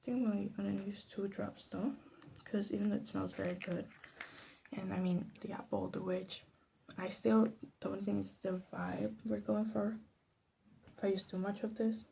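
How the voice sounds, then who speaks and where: A woman from Latin America gives soft-spoken ASMR commentary, often slipping into a whisper, in a hesitant, musing, self-deprecating way. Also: mid-range voice, flat delivery, moderate pace, gravelly. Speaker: ASMR video host. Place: bedroom. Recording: faint background noise, clicking sounds.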